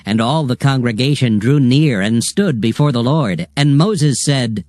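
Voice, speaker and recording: A man narrating scripture from a script in a solemn, measured, formal manner. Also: medium pitch, expressive, darkish tone, no echo, studio quality. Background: music.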